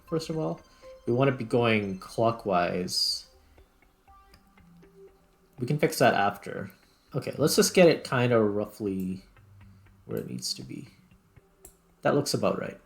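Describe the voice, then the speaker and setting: A man with a fairly low voice gives relaxed, casual let's play commentary. He thinks aloud one step at a time as he plays. Faint music sits behind his voice. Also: a little slow, gravelly, long pauses. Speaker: gaming video host. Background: background music.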